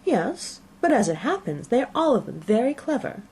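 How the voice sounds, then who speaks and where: A woman voicing a fussy, excitable middle-aged mother in a classic novel, with gushing, exclamatory delivery. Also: a little high, moderate pace, breathy edge, voice pushed up, exaggerated voice. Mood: indignant, fond. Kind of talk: audiobook character reading.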